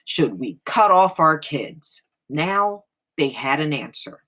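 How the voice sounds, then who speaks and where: A woman's voice: deep voice, expressive, slow, a bit bright. An American woman hosting, reading an article aloud and adding plain-spoken casual commentary.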